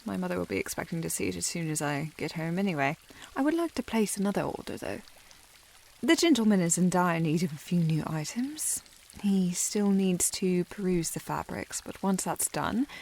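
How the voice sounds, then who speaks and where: A woman playing a proud, easily flustered young aristocratic lady in a historical ASMR romance, breathy and refined, often dropping to a whisper. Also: fairly low pitch, low-quality recording. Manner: haughty, refined, hesitant when flustered.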